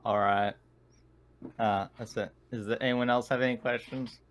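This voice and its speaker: A man's voice: medium pitch, steady tone, moderate pace. A man playing a tabletop game, talking in an informal, folksy way as he speaks for his character. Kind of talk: casual in-character game chat.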